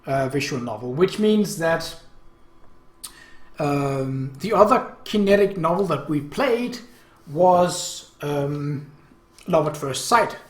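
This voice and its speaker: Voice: medium pitch, animated, takes it slowly, roomy sound. A game host giving casual, wry commentary and reading a visual novel story aloud, with hesitant asides. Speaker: let's play host.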